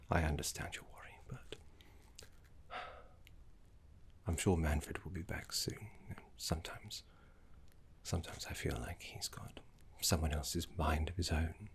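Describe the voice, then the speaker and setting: A man playing a genteel, fussy, courteous professor of necromancy in an ASMR roleplay, speaking in a soft, breathy, formal way with dramatic pauses. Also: a bass voice, exaggerated voice. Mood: apologetic, polite.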